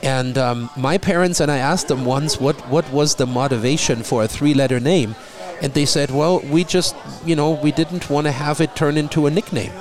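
A middle-aged man from Germany with a German accent, talking in a relaxed conversation and often repeating a word as he starts a thought. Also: fairly high pitch, moderate pace, faint music behind. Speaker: guest. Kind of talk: casual conversation.

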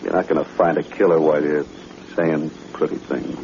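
An actor playing a proud, threatening frontier saloon owner with a controlled, taunting manner, in old-time radio western style. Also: male voice, a bass voice, level tone, moderate pace, a little mellow. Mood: coldly warning. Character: menacing, protective frontier saloon owner, proud tough gunman. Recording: muffled, noisy vintage radio recording.

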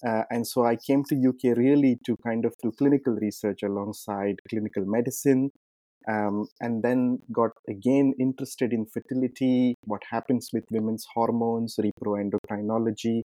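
A man from India, a clinician answering interview questions in a measured way, with frequent ums and uhs. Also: mid-range voice, steady tone, warm tone, dry recording, rough recording. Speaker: clinician guest.

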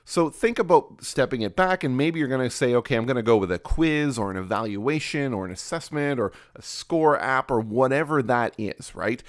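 A man's voice: medium pitch, expressive, moderate pace, few pauses, dry recording, clean studio sound. Nasal man hosting a solo podcast. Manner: casual, coaching, many fillers and restarts. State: has a cold, slightly nasal. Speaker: podcast host.

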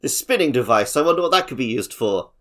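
A man's voice: medium pitch, animated, fast, fairly bright tone, voice pushed up, natural voice. A man voicing a curious male video game inventor, wondering aloud over gadgets. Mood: musing curiosity.

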